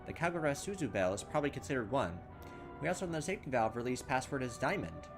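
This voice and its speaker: A man's voice: mid-range voice, expressive, fast, music in the background. A man playing a horror game and reading its text aloud, thinking aloud and making fun of his own mistakes. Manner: self-mocking, thinks aloud, loses his way. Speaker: let's play gamer.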